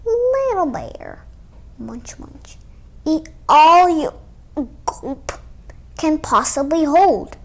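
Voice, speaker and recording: A protective storybook mother bear, acted out by a young girl reading aloud. The voice is high and slow. She is motherly toward her cub. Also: sing-song, heavily acted. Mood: instructing.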